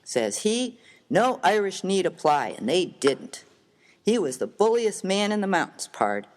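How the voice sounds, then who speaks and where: A man playing a rough, hearty, good-natured American miner of the Old West in a dramatised story reading, with slangy, folksy, emphatic speech. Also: high-pitched, sing-song, measured pace. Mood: admiring, boastful.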